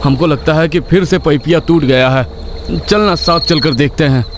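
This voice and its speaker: A man voicing a frightened, gruff village farmer and husband with a Bihari accent, blunt and agitated, in a horror story. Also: a little high, moderate pace, slight background noise, voice pushed up, natural voice. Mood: uneasy. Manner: blunt, agitated rural speech. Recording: constant night cricket and insect sounds behind.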